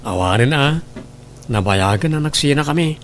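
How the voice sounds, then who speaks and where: A man's voice: mid-range voice, animated, moderate pace, a little hiss or hum, lightly acted. A man with an Ilocano accent playing a friendly, inquisitive former boyfriend in an audio drama, chatty and sympathetic.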